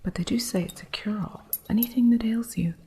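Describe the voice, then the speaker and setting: A woman's voice: fairly high pitch, brisk, slightly rough. Woman whispering as a wry witch shopkeeper. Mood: hushed. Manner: soft unvoiced whisper, dry humor. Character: wry female witch shopkeeper teaching an apprentice. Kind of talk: ASMR roleplay.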